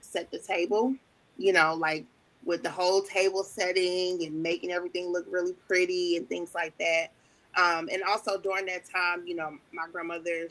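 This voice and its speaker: A woman from Chicago, a guest on a talk show, telling her story in a relaxed way with lots of "um"s. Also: fairly low pitch, low-quality recording. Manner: warm storytelling with many fillers. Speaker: wedding planner and ordained elder, guest. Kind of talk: casual interview talk.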